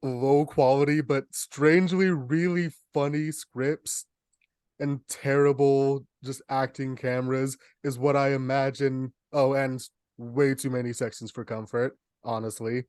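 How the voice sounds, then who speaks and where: A young man talks as a podcast co-host, spinning humorous stories in a casual way. His voice sits at an ordinary male pitch, and the sound is dry with hardly any room in it. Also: little pitch movement, measured pace, darkish tone, clean tone, dry recording. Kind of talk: casual chat, humorous storytelling.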